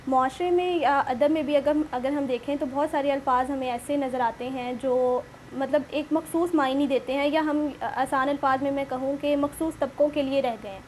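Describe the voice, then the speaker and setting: A woman's voice: high voice, fairly even intonation, normal speed, hardly any pauses. A woman hosting a discussion in formal, literary phrasing. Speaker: show host. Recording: clearly noisy room recording.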